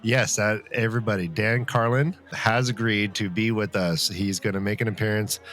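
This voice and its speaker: A man hosting a podcast in a jokey, casual way, excitable and stumbling over his words. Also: fairly low pitch, moderate pace. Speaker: podcast host.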